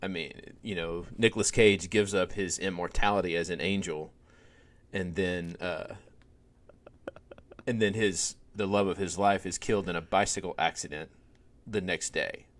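A man with a fairly low voice hosts a podcast, spinning stories with many "um"s and lively bursts of emphasis. He sounds middle-aged and talks loosely, the way a wedding officiant chats with a friend. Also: normal speed. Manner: animated storyteller, many fillers. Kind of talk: conversational podcast storytelling.

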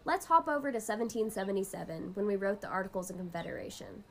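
A woman's voice: medium pitch, lively intonation, quick pace, lightly acted. A woman playing a young woman who patiently explains history to her friend in a scripted amateur radio drama. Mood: explanatory.

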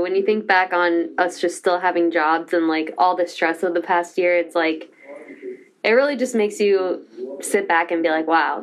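Bubbly young woman telling stories. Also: fairly low pitch. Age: young adult. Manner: lively storyteller, mimics others with loud bursts. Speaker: host. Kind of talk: casual team chat.

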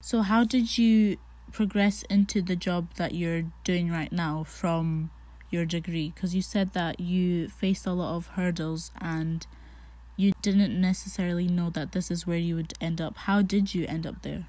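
A woman's voice: on the low side, fairly even intonation, a little slow, warm tone. A woman hosting a podcast, presenting introductions and interview questions. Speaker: podcast host interviewing a guest.

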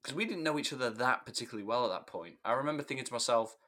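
A man's voice: mid-range voice, animated, very fast, a bit bright. A man hosting a podcast in casual chat, teasing his guests with dry humor. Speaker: podcast host.

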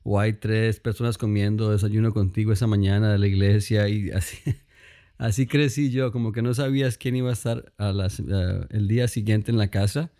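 Colombian man in his thirties with a Mexican-tinged accent, low mellow voice, loose rambling interview storyteller. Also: normal speed. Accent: Mexican-influenced Spanish. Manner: relaxed, rambling, frequent fillers and restarts. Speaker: guest, music producer.

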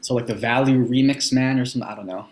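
A man from Hawaii speaks at a middling pitch as a guest in a casual conversation. He is easygoing and playful, explaining ideas in an informal way. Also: fairly even intonation, quick pace. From Hawaii, USA. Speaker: interview guest.

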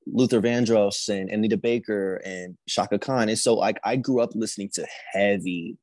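A man talking about his singing in a casual conversation, soft-spoken and self-deprecating, with plenty of fillers. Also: on the low side, moderate pace, no room sound. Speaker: singer guest.

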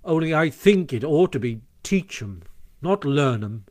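A man's voice: on the high side, very animated, a little slow, a little mellow. Guy doing a storybook rat, well-mannered worrier, a bit fussy and mild. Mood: humble. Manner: pedantic, mild. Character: fussy, polite, anxious male water rat.

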